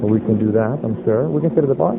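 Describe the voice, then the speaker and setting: A man's voice: on the low side, very fast, gravelly. A young man chatting casually with someone he has approached, in a hesitant, rambling way full of fillers. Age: young adult. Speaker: pickup vlogger chatting up a stranger. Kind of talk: casual street conversation. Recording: muffled phone-like audio, loud street noise.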